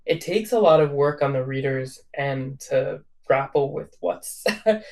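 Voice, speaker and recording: A man's voice: medium pitch, lively intonation, a little slow, gravelly, darkish tone. Thoughtful male book editor on a call. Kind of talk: casual virtual event conversation. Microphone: video call.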